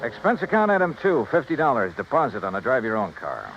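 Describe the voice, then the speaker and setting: An old muffled radio recording with music behind it carries a wisecracking American male investigator. His delivery is brisk and theatrical, with pitch that swings freely. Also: wildly swooping pitch. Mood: brisk, matter-of-fact. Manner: breezy, wisecracking. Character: wry, brisk freelance insurance investigator, a man. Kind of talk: 1950s radio detective acting and narration.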